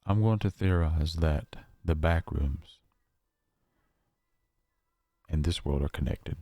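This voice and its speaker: A man playing a shaken, paranoid survivor recording a horror audio log, speaking haltingly with long pauses. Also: rumbling low, warm tone, no room sound, heavily acted. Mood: weary, reflective. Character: shaken, paranoid male survivor of a nightmare realm.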